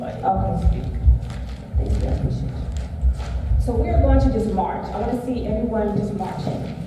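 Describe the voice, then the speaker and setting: A soft-spoken woman leading a motivational workshop, talking gently with many pauses and ums. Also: a little high. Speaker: workshop speaker. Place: event room at a university. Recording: echoey hall from far off, noisy.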